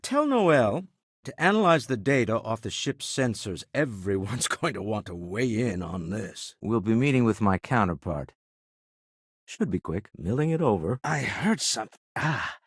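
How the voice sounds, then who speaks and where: An urbane, moneyed older businessman with a taste for exploration in a video game. His voice sits fairly low and moves through lively, expressive swings. The phrasing is genteel, measured and wry, captured in a very dry studio sound. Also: husky, no echo. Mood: composed. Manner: refined, measured, dryly witty phrasing. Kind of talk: video game character dialogue.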